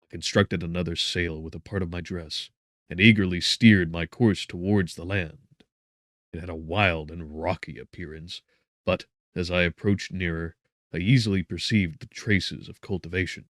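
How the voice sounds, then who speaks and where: Very deep-voiced man narrating gothic horror. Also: fairly even intonation, moderate pace, fairly bright tone, no room sound, clean studio sound. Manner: theatrical, solemn, with strong swells and drops in volume. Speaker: audiobook narrator. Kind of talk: dramatic scripted narration of a gothic novel.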